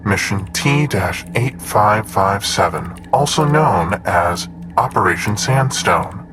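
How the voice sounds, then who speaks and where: Low-pitched, formal android assistant. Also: male voice. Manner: formal, measured, precise. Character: male android assistant, formal, precise and unflappable. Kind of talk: audio drama acting, report reading. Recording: clear background noise with typing.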